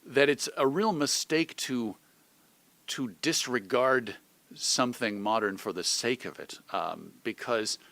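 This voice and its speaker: Bright-voiced middle-aged American man. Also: mid-range voice, slow pace, vocal fry. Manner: reflective, articulate. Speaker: interview guest, radio host and editor. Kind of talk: thoughtful conversational interview.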